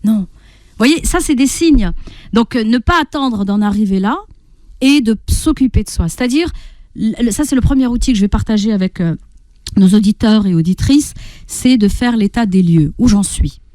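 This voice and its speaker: A woman with a fairly high voice speaks as a coach on a radio programme. She explains in a didactic way, checking in with short questions and voicing everyday thoughts as examples. The sound is dry and close, as in a studio. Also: animated, moderate pace, dark tone, no room sound. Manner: didactic, often checks in with rhetorical questions and acts out inner speech. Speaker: guest parenting coach and therapist.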